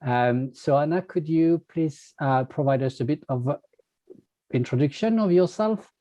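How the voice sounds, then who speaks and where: A man's voice: a little high, normal speed, dark tone, dry recording. A man interviewing an artist, asking polite questions with frequent hesitations and fillers. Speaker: interviewer, magazine editor.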